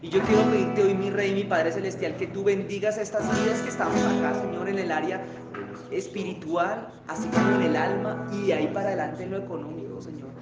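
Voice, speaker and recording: A man's voice: high-pitched, steady tone, a little fast. A man preaching a sermon, then leading the church in emphatic, fervent prayer. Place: church hall. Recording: very echoey church hall with guitar.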